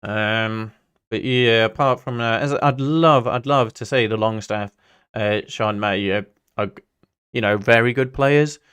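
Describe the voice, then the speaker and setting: Clear-voiced man chatting through a football livestream. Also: fairly low pitch, a little slow, a bit bright. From Singapore. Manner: rambling, chatty, jumps between anecdote and play-by-play. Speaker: football livestream host, Newcastle fan. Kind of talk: casual match watch-along commentary, chatting with viewers.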